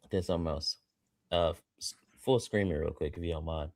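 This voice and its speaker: A man's voice: low-pitched, a little fast, darkish tone. An American man of thirty co-hosting a livestream with casual, joking chat, boisterous and slangy, repeating phrases for emphasis. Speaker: livestream co-host.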